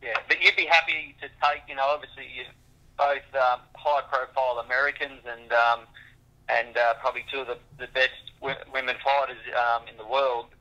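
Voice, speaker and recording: Aussie guy reporter asking stuff haltingly, sharp voice, crummy muffled phone line. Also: medium pitch, level tone. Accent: Australian. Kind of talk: halting press call question.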